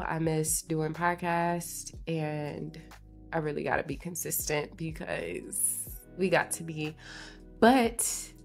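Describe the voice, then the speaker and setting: A woman in her late twenties with a congested sound, chatting casually and confidingly as a solo podcast host in slangy, informal language. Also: deep voice. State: congested from allergies. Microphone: podcast microphone at a desk.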